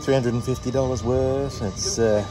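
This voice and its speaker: A man in his forties talking casually to a camera outdoors, with a dry, deadpan sense of humour. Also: medium pitch, normal speed, over music. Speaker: vlogger on a sailing channel. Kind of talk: casual vlog chat. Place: outdoors, on a sailboat deck and around town.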